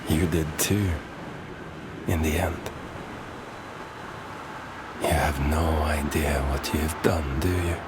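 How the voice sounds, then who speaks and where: A man acting as a weary, middle-aged father figure in a video game, speaking in a measured, hushed way with gravity. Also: a bass voice, steady tone. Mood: grave, bitter. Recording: loud music behind. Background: loud music.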